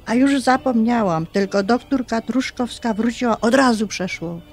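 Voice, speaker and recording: An actress playing a chatty middle-aged mother in a radio drama, speaking in a hushed, colloquial, teasing way. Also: on the high side, moderate pace, faint music behind.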